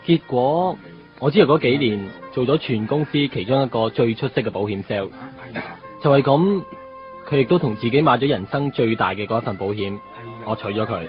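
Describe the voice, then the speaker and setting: A man's voice: medium pitch, animated, brisk, lightly acted. An actor playing an earnest, wistful married salesman in a Cantonese radio drama, chatting in Hong Kong Cantonese sprinkled with English words. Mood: reminiscing, sincere. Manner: conversational storytelling with English words mixed in. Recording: muffled radio drama with music bed.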